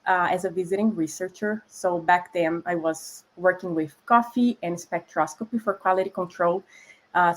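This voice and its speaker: Brazilian-accented woman food scientist. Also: mid-range voice. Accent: Brazilian Portuguese. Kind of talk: explanatory conversation.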